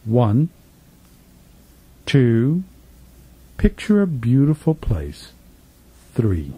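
A man leading a guided meditation as a spiritual teacher, gently instructing in a soothing, repetitive manner with trailing phrase endings, recorded in a studio. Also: medium pitch, huge pitch swings, very slow, breathy edge. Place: professional studio.

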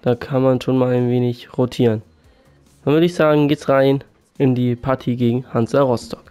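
Sick man with a flat, dark voice. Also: medium pitch, moderate pace, clear, resonant tone. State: sick, sore throat. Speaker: gaming YouTuber. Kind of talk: casual game commentary. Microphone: USB condenser mic. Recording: faint game music behind.

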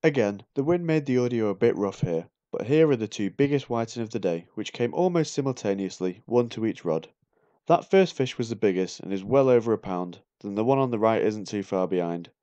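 A man's voice: on the low side, expressive, fairly quick, warm tone, no echo, highs cut off. A man, narrating a casual, explanatory voiceover for his video.

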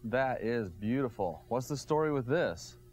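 A middle-aged man with an ordinary medium-pitched voice hosts a TV garage tour, firing off quick, upbeat questions. He is recorded on a lapel mic inside a large, cluttered workshop. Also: expressive, a little mellow. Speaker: TV show host. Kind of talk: casual walk-through interview.

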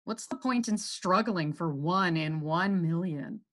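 A woman playing a boastful, menacing virus in a theatrical, drawn-out stage monologue. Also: lowish voice. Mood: defiant. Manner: theatrical, drawn-out, gloating, swinging from outbursts to hushed menace. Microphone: computer microphone, close webcam framing.

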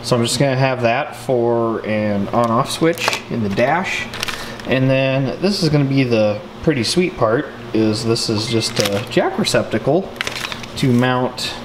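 A man's voice: mid-range voice, noisy background. A man narrating a hands-on project casually and informally, explaining it step by step as he goes. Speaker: car builder and vlog host. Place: indoor garage workshop. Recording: echoey room.

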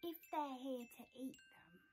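A little girl mouse from a storybook, played by a woman, squeaky and high. She is excitable and breathless, with pitch that jumps around. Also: a little slow, a little hiss or hum. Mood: curious. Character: small, excitable female mouse.

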